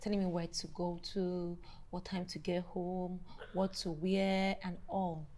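A woman's voice: lowish voice, little pitch movement, unhurried, darkish tone, a little hiss or hum, lightly acted. A woman playing a young Nigerian wife airing grievances about her husband in a screen drama. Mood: aggrieved.

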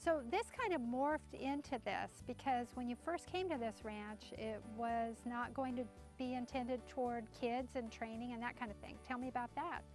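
An enthusiastic woman host interviews local people, gushing and asking friendly questions. Her voice sits a little high, with faint music behind it. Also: normal speed, few pauses, a little mellow. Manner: effusive, enthusiastic.

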